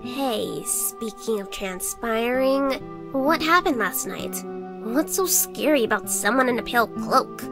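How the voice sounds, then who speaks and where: A high, lively young female adventurer from a visual novel, acted by a woman, blunt and given to whining. Her incredulous delivery swings widely in pitch. Music and rainfall play clearly behind her. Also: unhurried. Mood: curious. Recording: loud music and rain behind. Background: game music and rainfall.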